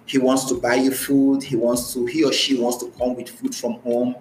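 A man's voice: mid-range voice, flat delivery, normal speed, a crowd in the background. Nigerian man in a roomy, echoey space. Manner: repeats and rephrases phrases for emphasis. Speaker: podcast guest. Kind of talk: conversational interview, recounting personal experience. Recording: roomy echo, faint music.

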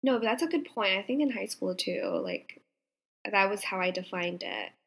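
A young adult woman co-hosting a podcast in casual chat, with an animated, slangy manner and lots of "like". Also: mid-range voice, normal speed, vocal fry, husky. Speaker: podcast co-host.

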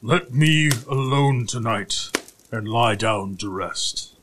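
Man as a fairy-tale giant, huge, slow-witted, gruff, ponderous drawn-out speech, faint noise. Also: medium pitch, lightly acted. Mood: alarmed, gruff. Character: huge, dim-witted, fearful male giant, booming and gruff.

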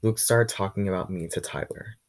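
Deep-voiced teenage boy ranting. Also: fairly quick. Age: teens. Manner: chatty, blunt, swears freely. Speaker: vlog host. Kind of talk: casual ranting monologue.

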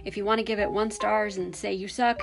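A woman's voice: medium pitch, steady tone, fairly quick, creaky, music in the background. A woman with a young-sounding voice hosting a podcast, musing hesitantly with many fillers. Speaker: podcast host. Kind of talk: casual solo podcast commentary.

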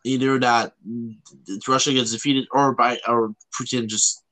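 A man from Canada hosting a casual podcast, talking in a halting way and often rephrasing himself. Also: medium pitch, little pitch movement, pushed and bright, rough recording. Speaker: podcast host.

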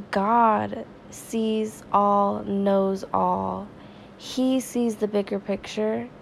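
A woman hosting a devotional podcast, sounding stuffy and raspy from crying, talking earnestly and praying in a conversational way. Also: mid-range voice, darkish tone, a little hiss or hum, poor audio. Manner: earnest, conversational, slow and prayerful. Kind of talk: informal devotional talk and prayer.

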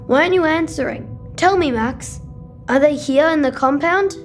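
A woman's voice: sits high, normal speed, over music, natural voice. A woman playing an anxious, trusting young boy in a sci-fi audio drama, full of plaintive questions. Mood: anxious, insistent.

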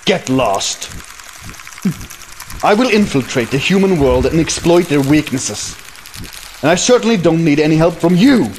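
A man's voice: fairly high pitch, animated, unhurried, long pauses, a little mellow, a little hiss or hum. A man playing a haughty, scheming villain with mystical powers in an anime fan dub, theatrical and commanding. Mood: dismissive. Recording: music behind the voice.

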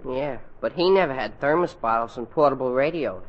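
An actor playing a hapless, laconic teenage boy sidekick in a 1940s radio comedy, deadpan in delivery. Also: medium pitch, fairly quick, lightly acted. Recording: noisy, muffled 1940s radio broadcast recording.

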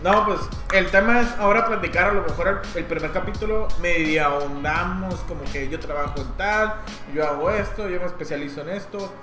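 A man's voice: sits high, fairly quick, reverberant, over music. A man, hosting a casual podcast chat among friends, joking and cutting in often. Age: 30s. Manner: joking, interrupts, laughs often. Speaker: podcast host.

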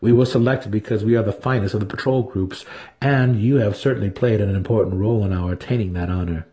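A man voicing an eager, sly older dark elf brother in a fantasy audiobook, teasing, emphatic and fervent. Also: fairly low pitch, fairly even intonation, fast talker, natural voice. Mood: teasing, proud. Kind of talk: dramatic fantasy audiobook character reading.

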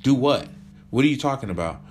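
A man's voice: fairly low pitch, expressive, slow pace, a bit bright, faint music behind, voice pushed up. A man voicing John, a young man who answers accusations with flustered, defensive denials. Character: defensive young man in a messy love life.

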